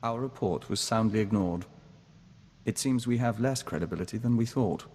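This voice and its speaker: Game companion, male actor: composed hive-joined diplomat man, low register, formal and earnest, heavy reverberation. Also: normal speed, a little mellow, lightly acted. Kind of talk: scripted video game companion dialogue. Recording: long reverb, audible background noise.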